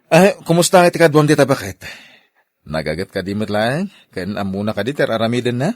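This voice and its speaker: A man's voice: mid-range voice, very animated, a little fast, lightly acted. A man playing a needy, coaxing husband in an audio drama, with an Ilocano accent. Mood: surprised. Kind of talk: dramatized radio-style story acting.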